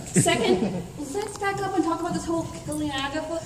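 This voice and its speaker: A woman's voice: very high voice, fairly quick. A woman playing a sarcastic, exasperated adventuring companion in a comic radio drama, with sardonic emphasis. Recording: echoey hall stage, distant, faint noise.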